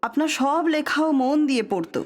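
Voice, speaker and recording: High-voiced grieving widow, reverberant. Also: female voice, animated, a little fast. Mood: mournful. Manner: subdued, mournful. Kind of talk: Bengali audio drama acting. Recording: long reverberant hall echo.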